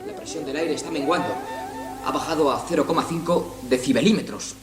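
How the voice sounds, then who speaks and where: A man's voice: a little high, a little fast, a crowd in the background, natural voice. An actor playing an alert, businesslike male pilot giving clipped reports in a radio drama. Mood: alarmed.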